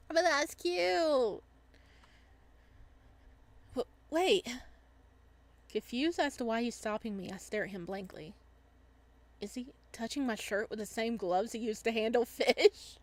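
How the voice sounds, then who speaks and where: A woman playing a visual novel, reading the narration aloud and adding casual, playful, expressive commentary as she goes. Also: fairly high pitch, dry recording. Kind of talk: casual game commentary mixed with dramatic narration.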